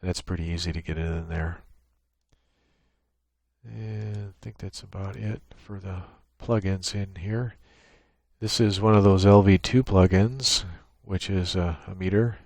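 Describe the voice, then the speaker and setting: A man giving an unscripted software tutorial, explaining each step in a matter-of-fact, technical way. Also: low-pitched, fairly even intonation, measured pace, dry recording, studio quality. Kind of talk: unscripted screen-share walkthrough. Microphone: USB microphone with gate and compressor.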